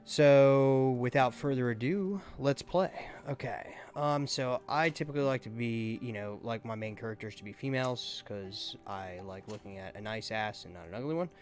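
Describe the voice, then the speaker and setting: A man giving casual, halting gameplay commentary as a YouTuber, thinking aloud as he goes. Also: medium pitch, a little mellow, soft background music. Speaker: gaming YouTuber.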